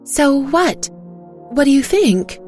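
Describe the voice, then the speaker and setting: A woman's voice: high-pitched, sing-song, takes it slowly, over music, voice pushed up, full character voice. A woman voicing a tense, worried woman friend who asks anxious questions.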